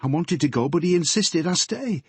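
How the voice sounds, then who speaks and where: Audiobook figure: male narrator voicing a scared teenage girl, pitched high, breathless, husky. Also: expressive, normal speed, no echo. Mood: anxious. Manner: shaky, pleading, breathless. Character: frightened tearful teenage girl, a policeman's daughter.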